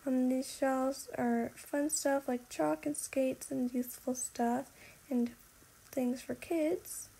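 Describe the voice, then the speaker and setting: A woman's voice: high-pitched, fairly even intonation, slow pace, vocal fry, mellow, breathy edge, low-quality recording. A young woman giving a casual, chatty voice-over tour of a video game house, giggly and apologetic in manner.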